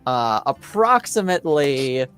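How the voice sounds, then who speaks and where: A man narrating a tabletop roleplaying game as game master in an unscripted recap, pausing and searching for words. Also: mid-range voice, very animated, a bit bright. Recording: clear music under the voice. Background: background music.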